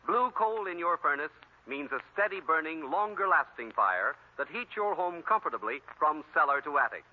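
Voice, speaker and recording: A male announcer delivers scripted copy in classic 1940s radio fashion. His fairly high voice is formal and emphatic. The recording is narrow and tinny. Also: expressive, normal speed.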